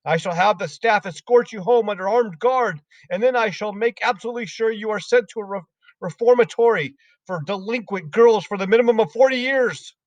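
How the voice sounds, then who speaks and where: A man voicing a cruel, towering headmistress who shouts insults at children. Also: sits high, expressive, normal speed, dry recording, voice pushed up. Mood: raging. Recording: dull lo-fi sound.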